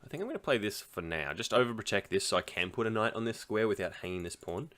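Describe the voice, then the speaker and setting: A man's voice: on the low side, animated, fast talker, fairly bright tone. Rambling Australian man playing chess. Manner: rambling self-talk, laughs often, thinks aloud. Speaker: chess streamer. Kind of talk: casual commentary while playing online chess.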